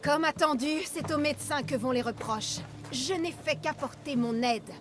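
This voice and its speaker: A woman's voice: high voice, normal speed, background noise, natural voice. Coolly smug scheming woman doctor acted out by a woman. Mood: cool, defensive. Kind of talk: dramatic video game acting.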